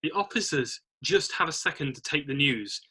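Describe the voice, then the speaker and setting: Bright, husky male script narrator. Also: a little high, expressive, normal speed. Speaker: narrator of a screenplay read-through. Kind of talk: scripted narration, reading stage directions.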